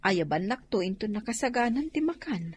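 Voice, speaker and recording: An actress plays a weary Ilocano office worker and wife in a radio drama. Her voice sits at a medium pitch, over faint ambient noise. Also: expressive, moderate pace, darkish tone, close to an everyday voice. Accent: Ilocano, northern Philippines. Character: tired working wife back from the office.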